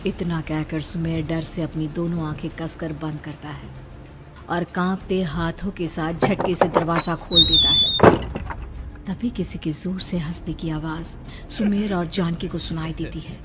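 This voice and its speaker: A woman's voice: lowish voice, big pitch swings, a little slow, very noisy. A woman storyteller reading a scripted horror tale in a dramatic narrating style. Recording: background music under narration.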